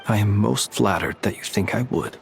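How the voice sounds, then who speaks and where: A man playing a formal, composed and encouraging comrade in a video game, speaking in measured, courteous phrases. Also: fairly low pitch, gravelly, a little hiss or hum.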